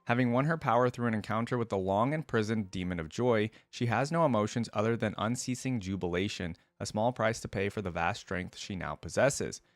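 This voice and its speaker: A man's voice: fairly low pitch, brisk, few pauses, no echo. A man hosting a gaming reaction video, moving between casual, think-aloud commentary and reading text aloud in a conversational way. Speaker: video host.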